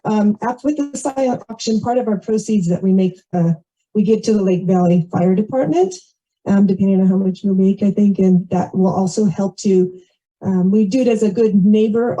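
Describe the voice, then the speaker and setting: A woman's voice: medium pitch, warm tone. A woman making casual, chatty, rambling announcements to a community gathering through an event microphone. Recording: dull, muffled event microphone audio.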